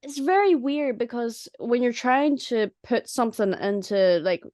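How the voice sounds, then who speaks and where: Woman chatting away casually, kinda high voice, really dry recording, jokey and animated. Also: measured pace, dry recording. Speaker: audio drama writer and voice actor. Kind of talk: casual chat.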